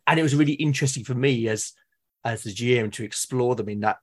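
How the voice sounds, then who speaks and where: A British man talks casually and reflectively at an ordinary male pitch. His voice is a little husky. He works through his points haltingly, rewording as he goes. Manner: halting, thoughtful, self-correcting explanations. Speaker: podcast guest or co-host, tabletop game master. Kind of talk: casual reflective conversation about roleplaying games.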